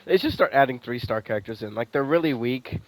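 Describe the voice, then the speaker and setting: A man's voice: medium pitch, expressive, fast talker, rough recording. A man giving casual, wry and amused commentary as he plays a video game. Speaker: streamer playing a game.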